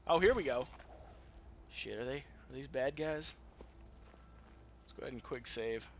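A man's voice: medium pitch, measured pace, slight background noise. A man giving casual, rambling, profane commentary as he hosts a gameplay video. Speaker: gamer hosting a let's play. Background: video game ambience.

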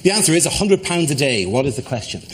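Fast-talking male host. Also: a little high, very animated. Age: middle-aged. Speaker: panel show host. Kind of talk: brisk presenting and banter. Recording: loud studio audience noise.

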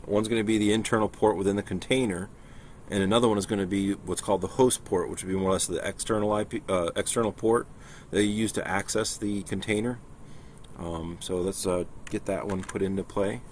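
Low-voiced man over background noise. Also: a little fast. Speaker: software tutorial presenter. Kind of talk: casual screen-share walkthrough. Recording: clear steady background noise.

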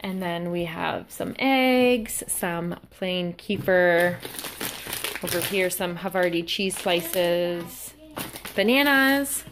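A woman's voice: fairly low pitch, takes it slowly, a bit bright. A young woman talking casually and a little hesitantly as she narrates a home vlog. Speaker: vlogger. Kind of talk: casual grocery haul narration, unscripted. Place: indoors at home. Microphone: handheld camera, held by speaker. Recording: clear background noise, handling clicks.

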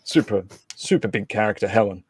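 A man with a fairly low voice hosts a casual livestream. He speaks off the cuff and a little hesitantly, on a close, dry mic with very little room sound. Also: expressive, fairly quick, breathy edge, dry recording. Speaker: livestream host. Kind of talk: casual livestream introduction and announcements.

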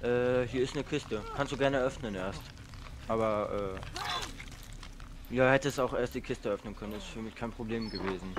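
Young man, casual gamer chat, excitable, yelps under pressure, light background hiss. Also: medium pitch, normal speed. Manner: excitable, swearing, yelps when chased. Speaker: gamer co-op player. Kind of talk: casual let's play chat.

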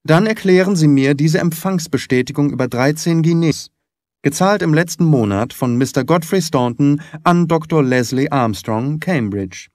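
A man playing a composed, shrewd gentleman detective in an audiobook, polite, precise and insistent. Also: fairly high pitch, normal speed, dry recording, studio quality, pitched above the performer's own voice, lightly acted. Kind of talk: audiobook character acting.

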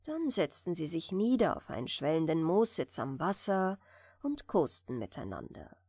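A woman narrating a fairy tale for bedtime, reading gently and soothingly, at times almost whispering. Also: lowish voice, sing-song, moderate pace, husky, dry recording, studio quality. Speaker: audiobook narrator.

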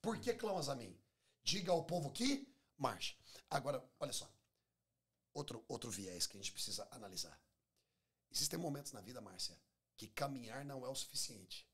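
A man's voice: medium pitch, big pitch swings, moderate pace, a bit bright, slightly rough. A Brazilian man in his forties, a pastor talking in a conversational preaching style, emphatic, repeating phrases and acting out bits of dialogue. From Minas Gerais, Brazil. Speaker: pastor, podcast guest. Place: small podcast studio. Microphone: close studio microphone on table stand.

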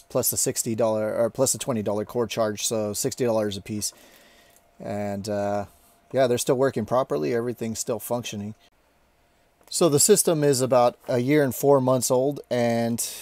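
A man with a fairly low voice hosts a casual, hands-on tech update. He talks informally and haltingly, rambling a little as he goes. The sound is dry and close, as from a handheld camera. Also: dark tone, no echo. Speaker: tech video maker, host.

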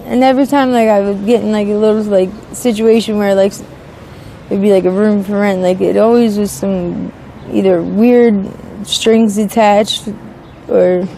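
A woman in her thirties talks casually in an outdoor interview. Her voice is soft-edged and mellow, her delivery hesitant and broken by filler words. Faint street and vehicle noise sits behind her. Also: mid-range voice, steady tone, creaky, rough recording. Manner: hesitant, halting, peppered with "like". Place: outdoors on a city street by a brick wall.

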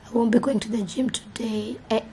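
A young woman from Kenya with a Kenyan accent, chatting to camera in a casual vlog, hoarse with a sore throat and switching between English and Swahili. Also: fairly high pitch, steady tone, brisk, mellow, a little hiss or hum. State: hoarse, losing her voice. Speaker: vlogger. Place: indoors. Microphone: camera mic held close.

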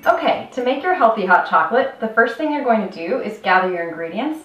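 A woman's voice: on the high side, expressive, fairly quick, a bit bright, soft background music. A woman in her thirties, a health coach, giving an upbeat step-by-step cooking demonstration in her home kitchen and talking straight to the viewer. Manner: upbeat, instructional, addresses viewer directly. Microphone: camera mic at a distance. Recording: roomy kitchen from a distance.

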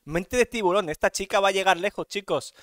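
A man's voice: high voice, a little fast, fairly bright tone, dry recording, studio quality. A young man on a headset mic, reacting to a dating show with teasing, sarcastic commentary. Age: young adult. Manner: teasing, sarcastic, laughs often. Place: indoor room.

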